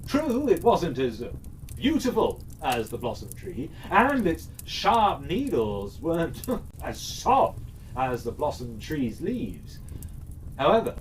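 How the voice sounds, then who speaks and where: Slow, animated storyteller, slightly distant mic. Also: medium pitch, slight background noise. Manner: dramatic, deliberate phrasing. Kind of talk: expressive children's fairytale narration.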